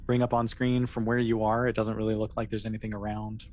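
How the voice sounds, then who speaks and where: Quick-talking game master running a tabletop rpg, chatty and informal, bit of noise behind. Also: mid-range voice, little pitch movement, a little mellow. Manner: informal, conversational narrating with asides to the player. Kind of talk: improvised narration of a tabletop role-playing game.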